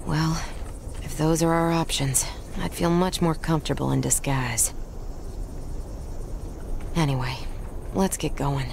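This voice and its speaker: A woman's voice: deep voice, steady tone, a little slow, gravelly, long pauses, a little mellow, slight background noise. An actress playing a plucky, practical young frontier woman in a Western video game, confident and matter-of-fact. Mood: assured, practical. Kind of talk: video game cutscene acting.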